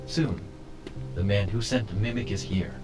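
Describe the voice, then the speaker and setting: Guy doing a low deliberate message readout, loud guitar music underneath. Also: close to an everyday voice. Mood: measured. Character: text message read aloud by phone-like voice assistant.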